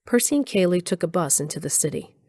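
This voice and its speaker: A woman's voice: fairly low pitch, expressive, fast talker. A woman playing a composed lab employee who narrates her own story in an audio drama. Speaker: narrator and character. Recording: loud noise behind the voice.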